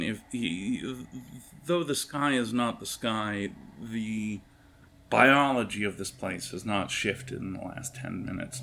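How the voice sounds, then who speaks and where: A man improvising tabletop game narration as game master, in a halting, wordy, descriptive manner. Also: on the low side, vocal fry, a bit bright, slight background noise.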